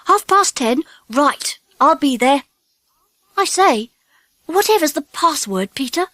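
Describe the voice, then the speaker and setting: Eager bossy english-storybook schoolboy, high voice, brisk and emphatic, dry close recording. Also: female voice, lively intonation, fairly bright tone, no room sound, full character voice. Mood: excited, insistent. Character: eager, bossy schoolboy Peter and his boy pals. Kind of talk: children's audiobook character acting.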